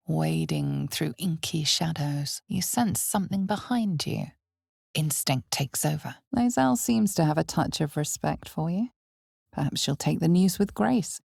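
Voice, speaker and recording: A woman playing the narrator of a dark fantasy game, solemn and theatrical, with measured, deliberate phrasing and a hushed, breathy edge. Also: low voice, dry recording, clean studio sound, heavily acted. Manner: measured, deliberate, theatrical delivery with weighted pauses.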